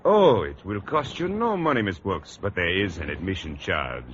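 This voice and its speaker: An actor playing an earnest, courtly French teacher in a vintage radio sitcom, speaking halting English with a French accent. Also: male voice, low voice, very animated. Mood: earnest, eager. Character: genteel married French male schoolteacher, earnest and gallant. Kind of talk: 1940s radio sitcom acting. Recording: dull, noisy vintage radio recording.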